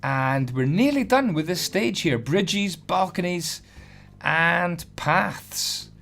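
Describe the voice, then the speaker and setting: A hoarse man, chatty and self-deprecating as he gives a casual building tutorial voice-over. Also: high voice, animated, takes it slowly, fairly bright tone, faint music behind. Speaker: Minecraft building tutorial host.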